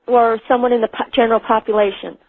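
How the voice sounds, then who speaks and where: A woman calling in to a radio show, putting her questions in a hesitant, deliberate way. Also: fairly high pitch, steady tone, no echo. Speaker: caller. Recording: tinny telephone line.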